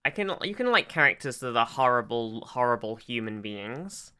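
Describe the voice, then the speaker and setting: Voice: mid-range voice, sing-song, normal speed, bright, forceful tone, clear, resonant tone. A podcast co-host chatting casually as an enthusiastic fan, hesitating and often repeating words and restarting sentences. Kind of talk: casual fan discussion of a TV show.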